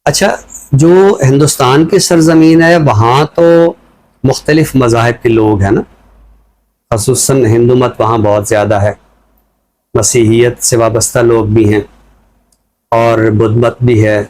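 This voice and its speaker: A man with a Pakistani accent and a mid-range voice talks as a scholarly commentator. He explains his points in a measured, reflective way while keeping a conversational style. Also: medium pitch, fairly even intonation, darkish tone, rough recording. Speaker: scholar commentator on a talk show.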